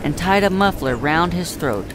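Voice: medium pitch, animated, moderate pace. A narrator reading a scripted classic story in storybook style. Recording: clear background noise.

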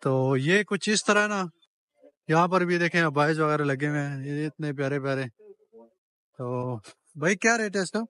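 A man's voice: on the high side, animated, measured pace, fairly bright tone, no echo. A man giving a chatty walking-tour vlog of a street market, talking straight to viewers and bargaining with shopkeepers as he films. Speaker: travel vlogger.

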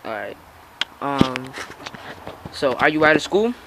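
A man casually interviewing someone from behind a handheld camera on a porch, hesitant and filling pauses with "um" and "all right". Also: medium pitch, very animated, background noise. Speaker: interviewer filming a young skater. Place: outdoors on a house porch.

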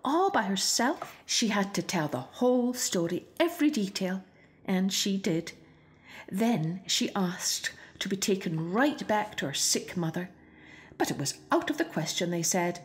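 A woman reads a children's picture book aloud as a storyteller. Her voice sits at a middle pitch, and she delivers the tale expressively, as scripted narration for young listeners. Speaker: storybook reader.

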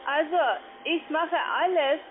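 Female call-centre agent, non-native speaker with foreign accent and broken grammar, high voice, tinny telephone sound. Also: fairly even intonation, a little hiss or hum. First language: not German. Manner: halting, ungrammatical German. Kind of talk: phone sales call. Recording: narrow tinny phone line.

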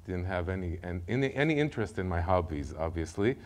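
A middle-aged man with an Israeli accent tells his life story to a church congregation, lively and conversational, often stumbling over and repeating words. His voice sits fairly low, and he speaks into a podium mic in a large sanctuary. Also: fast. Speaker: guest speaker. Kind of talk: personal testimony sermon.

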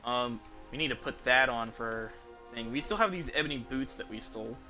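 A young man giving casual gameplay commentary in a rambling, halting way, streaming from an indoor room. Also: mid-range voice, low-quality recording. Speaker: game streamer. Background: game music.

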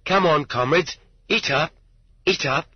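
Simple, good-hearted workman for audiobook, male narrator acting: raised pitch, earnest, shy, muffled sound. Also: a little slow, slightly rough. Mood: shyly generous. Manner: earnest, shyly friendly. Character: innocent, simple, kindly workman with delusions of being Emperor. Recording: dull lo-fi roomy cassette audiobook.

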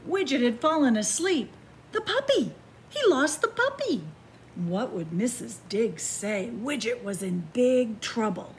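A woman reads a picture book aloud in a library, her fairly high voice swinging widely between hushed whispers and bright exclamations. She takes her time with each line. A faint hum of room noise sits behind her. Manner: slow, expressive storytelling with hushed whispers and excited rises. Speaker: children's librarian reading aloud. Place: library in front of bookshelves. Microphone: camera facing the book.

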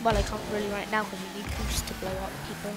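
A woman giving loud, excitable, casual commentary while playing a video game, exclaiming often. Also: mid-range voice, quick pace. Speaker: gameplay commentator. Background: game music.

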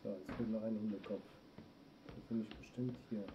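German man, middle-aged, gaming streamer, lowish voice, muffled audio with clear noise behind. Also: fairly even intonation, moderate pace. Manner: talks to himself while playing, reacts to chat. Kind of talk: let's play commentary. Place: small room indoors.

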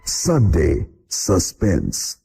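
Deep radio promo guy, slow n creaky, music going behind him. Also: huge pitch swings. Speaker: radio station announcer. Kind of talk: scripted radio promo announcement.

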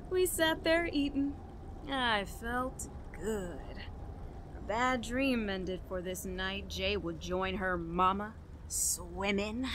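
An actress playing a guilt-ridden, unraveling woman in a radio horror play, telling her story in a halting, dramatic way. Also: mid-range voice, pushed and bright, a little hiss or hum. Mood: darkly gloating. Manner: halting, repeating words, breaking into nervous laughs and gasps. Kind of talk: dramatic first-person horror monologue in old-radio style.

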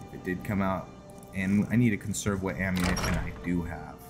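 A man giving casual gameplay commentary with some roleplay narration, muttering to himself in a halting, low-key way. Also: fairly even intonation. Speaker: gaming video host. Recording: music behind the voice.

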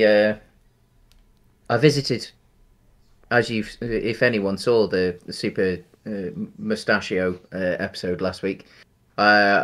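Man, thirties, low voice, meandering chatty co-host on a headset video call. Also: fairly bright tone. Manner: rambling, many ums and restarts. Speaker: podcast co-host. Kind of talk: casual chat. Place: home room, video call.

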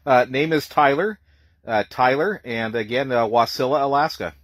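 A man's voice: medium pitch, moderate pace, a bit bright, dry recording, studio quality. A man working as an amateur radio operator, speaking plainly in radio jargon and repeating details for clarity. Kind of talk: ham radio contact, phonetic spelling.

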